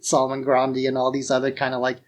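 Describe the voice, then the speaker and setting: A man's voice: mid-range voice, monotone, fast. A man co-hosting a podcast, chatting casually about film in an informal, enthusiastic way. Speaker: podcast co-host.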